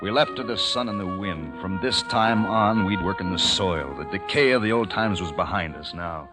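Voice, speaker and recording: Man acting a deep-voiced, weary survivor in radio drama. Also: moderate pace, lightly acted. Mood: resolute, weary. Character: weary, grieving male survivor leading a small community. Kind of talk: old-time radio acting with first-person narration. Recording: old radio recording with music behind.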